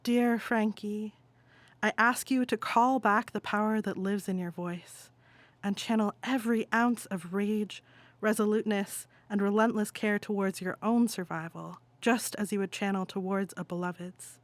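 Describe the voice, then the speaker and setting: A playwright voicing a gentle, resolute figure from the afterlife in a solemn, deliberate audio play monologue. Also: mid-range voice, fairly even intonation, no room sound, lightly acted. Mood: solemn, urgent. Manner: solemn, deliberate, incantatory address. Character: Francis, gentle resolute spirit-visitor from the afterlife, pleading.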